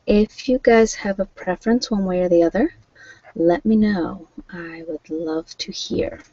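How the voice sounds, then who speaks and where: A woman's voice: fairly low pitch, unhurried, warm tone, breathy edge, no echo, muffled recording. A woman hosting an ASMR relaxation stream, chatting informally and a little hesitantly straight to her viewers. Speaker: host of a guided relaxation and meditation channel. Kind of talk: casual ASMR livestream chat.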